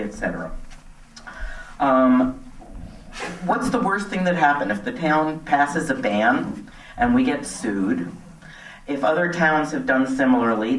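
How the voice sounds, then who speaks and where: A middle-aged woman speaking earnestly and plainly as a resident at a public meeting, partly off the cuff. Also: a bass voice. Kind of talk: public comment speech, semi-extemporaneous. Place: community center meeting hall. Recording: echoey hall, distant microphone.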